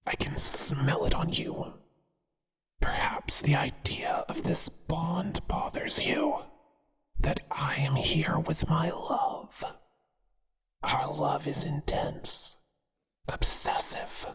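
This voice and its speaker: A whispering, very breathy male spider monster acted out by a performer for horror audio roleplay. He is possessive and obsessive, with a menacing tenderness, drawing out his words very slowly in long reverb. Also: mid-range voice, big pitch swings, fairly bright tone, full character voice. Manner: slow, deliberate, menacingly affectionate.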